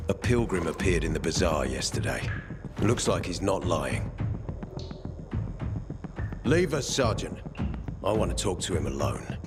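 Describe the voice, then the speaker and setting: Deep, authoritative commander. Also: male voice, very animated, measured pace, pauses often, loud music behind the voice. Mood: firm authority. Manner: authoritative, controlled. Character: commanding male officer, firm, measured, newly in charge. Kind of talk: video game cutscene acting.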